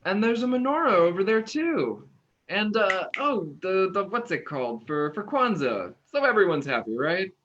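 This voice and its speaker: Casting: male actor as chatty, tired young man for a stage drama reading; highish voice, very lively pitch, wry and halting. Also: a little hiss or hum. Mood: upbeat, curious. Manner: halting, animated, wry talk. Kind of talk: naturalistic acting in an online staged play reading. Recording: dull, lo-fi online recording.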